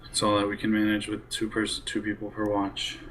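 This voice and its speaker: A man's voice: on the low side, monotone, a little fast, a bit bright, slight background noise. A man talking casually and cautiously as a player in a group role-playing game. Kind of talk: casual in-character group planning. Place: online voice session for streamed role-play game.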